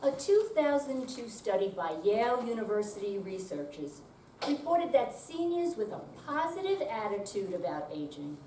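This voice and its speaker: A woman's voice: on the high side, very animated, normal speed, dark tone. An older woman giving a scripted readers theater performance on stage. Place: senior center room, live reading. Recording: echoey room, distant mic, clear noise.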